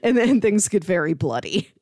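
A woman's voice: mid-range voice, sing-song, normal speed, darkish tone, dry recording, studio quality. A woman co-hosting a podcast, talking through films in a casual, conversational style. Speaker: podcast co-host. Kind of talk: casual film discussion podcast.

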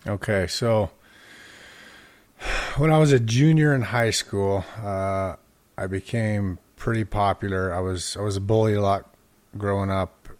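A man's voice: on the low side, measured pace, darkish tone. A middle-aged man from Utah with an American accent, telling personal stories casually as a podcast interviewee. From Utah, United States. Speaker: podcast host being interviewed as guest.

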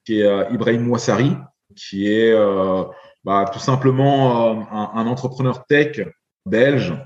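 A man in his forties from Belgium, a podcast guest talking in a halting way, with many fillers and repeated words. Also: on the low side, fairly even intonation, a little mellow, echoey room, dull audio. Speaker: guest, investor. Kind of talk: podcast interview.

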